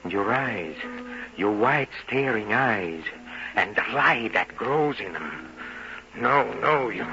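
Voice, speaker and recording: Music swells behind a slow, low-pitched voice on a muffled old recording. a bitter, tormented, tipsy man betrayed in love, stumbling and repeating himself in radio-drama anguish. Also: animated, sharp tone, exaggerated voice. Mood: aching horror. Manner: halting, repetitive, anguished. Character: jealous, wine-soaked betrayed lover, bitter and tormented.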